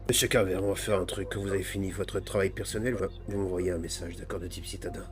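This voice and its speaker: Man playing a low-voiced pompous old priest over music. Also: steady tone, quick pace, lightly acted. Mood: formal, insistent. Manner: mock-solemn, bureaucratic turns of phrase. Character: elderly pious ex-convict priest, pompous and absurdly formal. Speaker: gaming roleplay streamer. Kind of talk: improvised comic roleplay narration.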